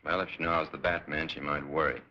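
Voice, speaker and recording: A man's voice: rumbling low, fairly even intonation, quick pace. An American man playing a suave, flippant young playboy crimefighter in a breezy, dry 1940s film serial style. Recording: muffled 1940s film soundtrack, faint noise.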